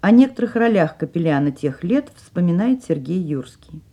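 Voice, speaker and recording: Mellow woman narrating a radio programme. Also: on the low side, big pitch swings, normal speed, muffled recording. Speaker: radio programme host, theatre critic.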